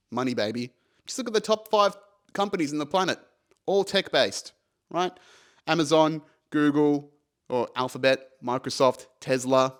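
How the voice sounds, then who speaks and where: A man's voice: mid-range voice, a bit bright. Rambling, foul-mouthed man in his thirties. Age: 30s. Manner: rambling, swears freely, self-mocking. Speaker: podcast host. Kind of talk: casual solo podcast monologue.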